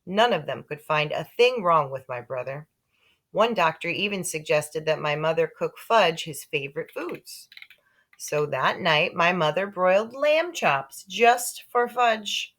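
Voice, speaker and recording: Animated woman reading a children's story. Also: lowish voice, unhurried. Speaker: story reader. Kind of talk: expressive read-aloud of a children's book.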